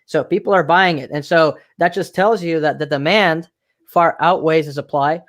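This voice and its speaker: A man's voice: a little high, normal speed, a bit bright. A man from Mexico hosting a podcast interview, talking casually and repeating himself for emphasis. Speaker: podcast host.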